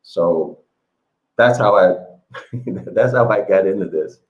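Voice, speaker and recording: A man's voice: low voice, slow pace, highs cut off. A man from the United States, a guest telling his story in a rambling way with many fillers over an online call. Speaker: interview guest, author. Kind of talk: casual storytelling interview.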